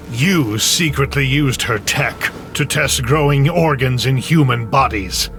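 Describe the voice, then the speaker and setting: An actor playing a vengeful, gloating villain in a dramatic, theatrical video game cutscene. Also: male voice, mid-range voice, fairly bright tone. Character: vengeful, gloating male villain scheming against a tech company.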